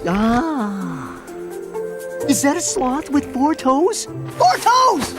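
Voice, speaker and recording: A man voicing a small, mischievous male possum in an animated game, with a bossy, excitable and cheeky delivery. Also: very high-pitched, very slow, music in the background, heavily acted. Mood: excited. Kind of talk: animated game cutscene acting.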